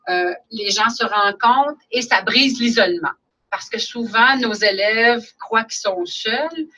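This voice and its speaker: A woman's voice: a little high, sharp tone, far from the mic, rough recording. A woman with a Canadian French accent presenting a webinar, speaking earnestly with many fillers and restarts. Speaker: webinar presenter on school identity building. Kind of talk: explanatory webinar talk.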